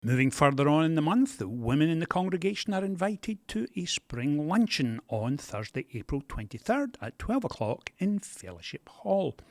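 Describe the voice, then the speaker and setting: A man, a pastor, hosting a church podcast with announcements and gentle jokes. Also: on the high side, moderate pace, few pauses, dry recording. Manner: animated, gently joking delivery.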